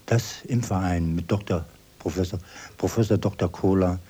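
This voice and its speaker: Deep husky guy giving formal testimony, deliberate and emphatic. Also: mellow. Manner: deliberate, emphatic, repeats words.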